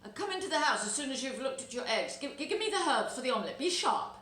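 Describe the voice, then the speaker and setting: Storybook fox gentleman played by a woman: sly, courtly, capable of turning curt, very lively intonation. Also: high-pitched, quick pace, pitched above the performer's own voice. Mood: abrupt, edgy. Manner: courtly, formal, coaxing. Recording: roomy echo, background noise.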